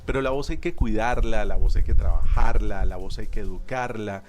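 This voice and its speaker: A man's voice: fairly low pitch, moderate pace, darkish tone. Man, speaking coach, radio booth guest, emphatic, repetitive parallel phrasing. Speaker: public speaking workshop instructor. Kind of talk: radio interview guest explaining.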